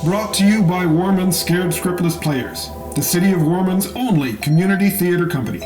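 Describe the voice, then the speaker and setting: A man's voice: high-pitched, moderate pace. Echoing male radio announcer over music. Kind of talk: old-time radio show introduction, scripted. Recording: roomy echo, prominent music behind.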